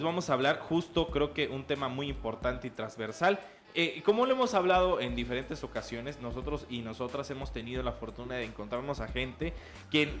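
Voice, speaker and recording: A man's voice: medium pitch, a bit bright. A man hosting a podcast interview as a reporter, speaking hesitantly with frequent fillers. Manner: hesitant, many "eh" and "pues" fillers.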